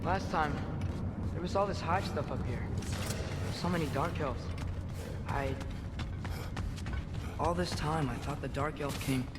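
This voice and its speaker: A man's voice: a little high, unhurried, pauses often, very noisy, close to an everyday voice. A young man playing an earnest, questioning teenage boy adventurer in a video game, speaking in a hesitant, searching way. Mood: uncertain, troubled. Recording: prominent game score behind.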